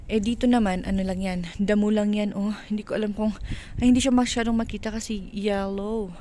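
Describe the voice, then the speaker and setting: A woman with a Filipino accent chatting casually and haltingly for a vlog while walking outdoors, mixing in English phrases. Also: medium pitch, fairly even intonation, mellow. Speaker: vlogger. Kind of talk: casual walk-and-talk vlog chat. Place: outdoors on a windy countryside walk. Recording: noisy windy outdoor ambience.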